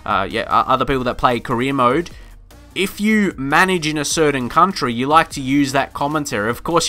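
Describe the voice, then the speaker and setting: A man with a bright, forward voice talks casually over a gaming news video, rambling from point to point with plenty of fillers and restarts. Faint music plays behind him. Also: medium pitch. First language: not Dutch. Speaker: gaming YouTuber.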